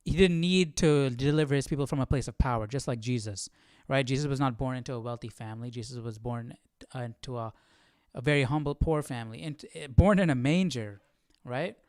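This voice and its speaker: Clear-voiced man musing casually, dry sound. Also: medium pitch, a little fast, a little mellow, no echo. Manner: thinking aloud, often asking "right?". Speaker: host of a live Bible study stream. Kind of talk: casual reflective commentary.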